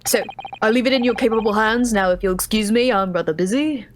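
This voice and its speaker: A woman's voice: on the high side, brisk, noisy background, pitched below the performer's own voice, lightly acted. A woman voicing a vain, dismissive upper-class detective in a video game, speaking in a formal, lofty manner.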